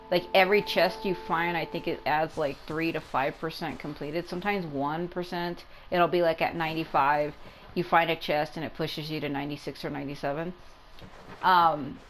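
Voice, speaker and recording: A woman's voice: lowish voice, a little hiss or hum, low-quality recording. A woman giving casual gameplay commentary as a video game streamer, rambling and repeating herself for emphasis.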